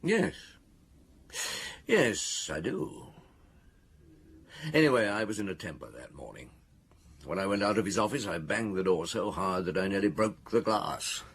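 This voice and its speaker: A man's voice: sits low, big pitch swings, measured pace, pauses often, a bit bright, husky, heavily acted. A man acting a patient, wry and genial police chief inspector in a radio drama, musing in a British accent. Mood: wry, reflective.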